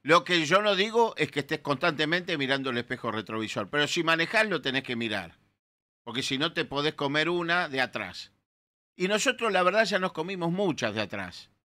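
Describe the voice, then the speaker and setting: A bright-toned man in his sixties speaks with a Rioplatense Argentine accent, slightly high for his age. He argues in an emphatic, indignant way, pressing his points on a radio panel. His voice comes close on a studio microphone. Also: sing-song, brisk. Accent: Rioplatense Spanish. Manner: emphatic, indignant, gestures while talking. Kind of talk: opinionated radio talk. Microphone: studio mic with headphones.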